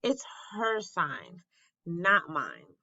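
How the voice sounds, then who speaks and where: A woman's voice: on the low side, wildly swooping pitch, drawn-out words, pushed and bright, dry recording, highs cut off, full character voice. A woman reading the part of an earnest, fair-minded third-grade boy in a storybook, thoughtful and sincere. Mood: uneasy.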